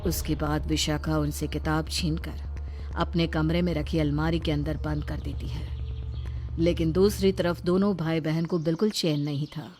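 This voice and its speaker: A woman's voice: low-pitched, steady tone, moderate pace, darkish tone. A woman reading scripted narration for a cartoon horror tale as its storyteller.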